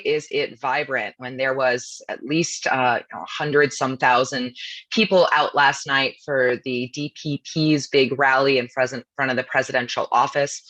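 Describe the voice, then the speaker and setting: A woman who is a law professor, speaking with a husky voice over a video call in a media briefing and explaining things in a brisk, informal way. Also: rumbling low, fairly bright tone, no echo, poor audio. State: husky voice from nonstop talking.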